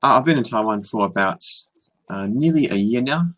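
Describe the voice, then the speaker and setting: A young man with an Australian accent chats on a video call. His voice sits very low and sounds a little husky. He talks in an easygoing, hesitant way, pausing often on "um" and starting phrases over. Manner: relaxed, hesitant, many ums and restarts. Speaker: online English tutor. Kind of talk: casual video-call conversation.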